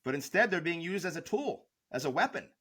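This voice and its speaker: A man's voice: fairly high pitch, very animated, fairly quick, a bit bright, slightly rough. Passionate man arguing his point. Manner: passionate, argumentative, many fillers. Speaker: guest expert. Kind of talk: interview answers.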